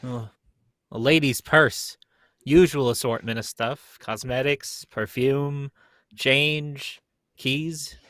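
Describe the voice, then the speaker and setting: A man playing a gruff, practical police inspector, blunt and colloquial, in a live reading of a radio mystery script. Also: medium pitch, steady tone, slow pace, fairly bright tone, dry recording. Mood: businesslike.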